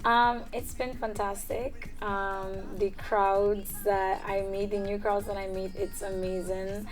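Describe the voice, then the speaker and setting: A woman's voice: mid-range voice, little pitch movement, slow pace, faint music behind. Young woman, chatty and upbeat. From Trinidad and Tobago. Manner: chatty, frequent "um" fillers and soft laughs. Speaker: singer-songwriter guest. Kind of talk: casual interview answers.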